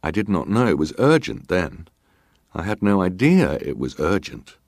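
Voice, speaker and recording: A low, deep male voice in a dry, close recording. a stoic ship's officer testifying in a dramatized hearing. He speaks formally and plainly, in clipped, factual phrases. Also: sing-song, moderate pace, gravelly, a little mellow, no room sound, exaggerated voice. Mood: stoic, terse. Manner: clipped, matter-of-fact, formal. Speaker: actor reading testimony.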